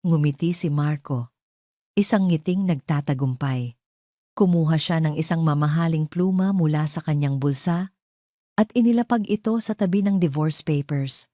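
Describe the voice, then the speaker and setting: A woman narrates a scripted audio drama. Her voice sits low, with a soft dark tone. The sound is very dry. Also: moderate pace, dry recording.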